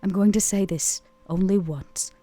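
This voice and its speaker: A woman playing a commanding, ageless vampire woman in a tabletop game, tender yet businesslike, with measured, formal phrasing. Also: mid-range voice, steady tone, warm tone, no room sound, close to an everyday voice. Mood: solemn, devoted. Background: music.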